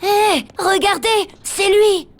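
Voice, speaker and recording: Earnest, high-voiced young boy. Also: slow, full character voice. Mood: indignant. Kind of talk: animated game cutscene acting.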